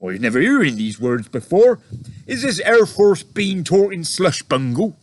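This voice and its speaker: A man voicing a huge, gentle male giant who talks in muddled words and broken grammar. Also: high-pitched, big pitch swings, measured pace, voice pushed up, full character voice.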